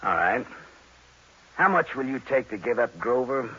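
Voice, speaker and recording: An actor playing a deadpan, wisecracking private detective who narrates his case in old-time radio noir style. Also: mid-range voice, a little slow, breathy edge, full character voice. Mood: flippant. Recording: dull vintage radio recording with music.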